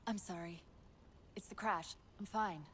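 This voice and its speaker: A woman playing a quick-witted female AI companion in a game cutscene, brisk and wry with clipped urgency. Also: medium pitch, steady tone, fairly bright tone, slight background noise, natural voice. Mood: subdued. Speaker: ship's AI.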